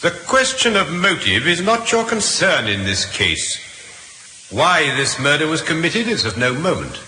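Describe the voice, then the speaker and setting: An actor playing a stern, solemn Victorian judge in an old radio drama, declaiming formally and deliberately. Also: male voice, a little high, very animated, pushed and bright, exaggerated voice. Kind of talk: 1950s radio drama acting. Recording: echoey, hissy old radio recording.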